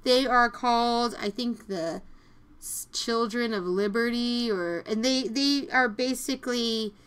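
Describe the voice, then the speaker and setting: A woman's voice: a little high, a little slow, a bit bright, smooth. A woman hosting a podcast, chatting informally and jokily as she reviews television. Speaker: podcast host. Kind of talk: casual TV review discussion.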